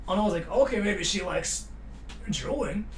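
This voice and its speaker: A man's voice: high-pitched, lively intonation, moderate pace, a little hiss or hum. A man from Japan, rambling through a casual story on a livestream with lots of filler words. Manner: rambling, heavy use of "like" fillers. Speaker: streamer.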